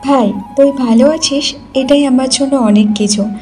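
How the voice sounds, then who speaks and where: A woman plays a loving, anxious elder sister from a cartoon tale. Her voice is high yet mellow, with music playing behind. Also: moderate pace, lightly acted. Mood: tender, relieved. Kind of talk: cartoon story dialogue.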